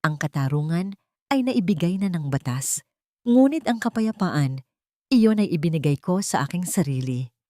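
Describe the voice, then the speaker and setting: Woman acting a gentle young mother, Filipino accent, tender, earnest, forgiving, lowish pitch, romance audiobook, dry sound. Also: animated, normal speed, no room sound, close to an everyday voice. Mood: calm, resolute. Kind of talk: audiobook character dialogue.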